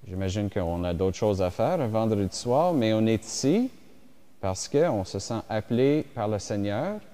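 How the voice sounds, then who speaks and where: A man whose first language is English, giving a slow, reflective French homily as a bishop at mass, with pauses and fillers. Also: on the low side, big pitch swings, warm tone. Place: church. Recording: reverberant church hall.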